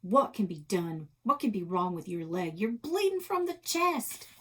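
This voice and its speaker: Woman playing a feisty, husky Old West gunslinger gal. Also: medium pitch, very animated, unhurried. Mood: alarmed. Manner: folksy cowboy talk, dramatic. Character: feisty young female Old West gunslinger gold panner.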